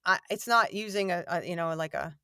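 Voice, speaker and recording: A woman voice actor chatting in an interview, rambling and self-deprecating, with a warm voice that has a slight gravel to it. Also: fairly low pitch, a little fast, dry recording, studio quality. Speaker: voice actor guest. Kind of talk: casual interview chat. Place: home recording booth.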